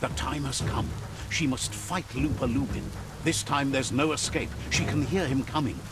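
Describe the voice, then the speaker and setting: A man's voice: medium pitch, moderate pace, lightly acted. A man playing a wry, philosophical storyteller, narrating a game in a theatrical, storybook style. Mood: grave, dramatic. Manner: theatrical, aphoristic. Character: wry, philosophical male storyteller translating for creatures. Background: music.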